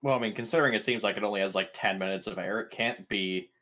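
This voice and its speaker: A man's voice: lowish voice, fast, bright, forceful tone. A man playing a tabletop game, chatting casually with wisecracks and some swearing.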